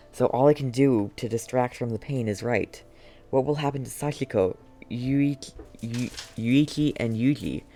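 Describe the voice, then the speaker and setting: A man reading out a wounded, regretful man's last letter from a game, halting and earnest. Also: mid-range voice, dark tone, lightly acted. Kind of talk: dramatic reading of game text.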